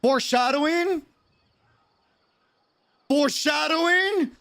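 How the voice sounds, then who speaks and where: A man's voice: very high voice, takes it slowly, vocal fry, fairly bright tone. An American man chatting casually and reacting in slang as he streams a game. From United States. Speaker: live streamer. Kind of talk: casual game livestream commentary.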